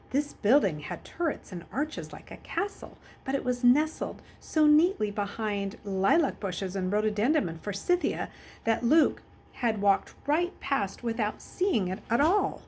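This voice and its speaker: A woman's voice: on the high side, very animated, unhurried, darkish tone, a little hiss or hum. A woman reading a children's novel aloud as a storyteller. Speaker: story reader.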